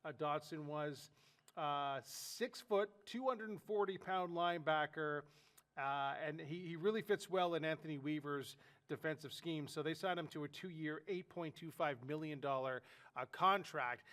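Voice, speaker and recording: A man's voice: fairly high pitch, normal speed, fairly bright tone. Nasal man with a head cold, rambling sports talk. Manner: rambling, lots of fillers and restarts. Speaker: sports podcast co-host.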